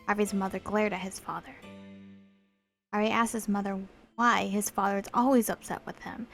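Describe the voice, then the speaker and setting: Young woman reading a visual novel aloud. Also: mid-range voice. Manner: chatty asides, apologetic, expressive reading. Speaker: let's play host.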